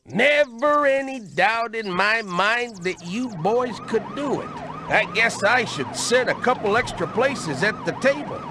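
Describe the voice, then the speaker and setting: An actor playing a kindly but firm old country uncle with a Southern US accent in a video game. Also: male voice, extremely high pitch, wildly swooping pitch, unhurried, few pauses, background noise, exaggerated voice. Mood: welcoming. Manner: folksy, firm. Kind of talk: game cutscene acting.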